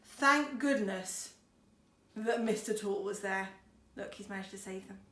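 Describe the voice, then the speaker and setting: A woman's voice: medium pitch, unhurried, sharp tone. A woman schoolteacher reading a storybook to children expressively, with chatty, encouraging asides. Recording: roomy echo, faint background noise.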